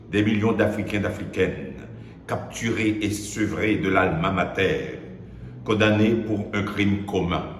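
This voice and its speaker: Deep-voiced man reciting solemn poetry, heavy reverb. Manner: deliberate, declamatory, with weighty pauses. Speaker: poet. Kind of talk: solemn dramatic spoken-word poetry recitation. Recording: echoey hall-like reverb, background noise.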